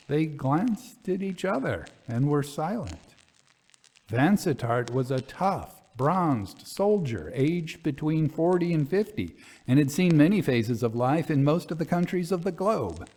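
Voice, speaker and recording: Expressive male storyteller with lively intonation. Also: fairly high pitch. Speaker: host and storyteller. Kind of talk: scripted dramatic story narration.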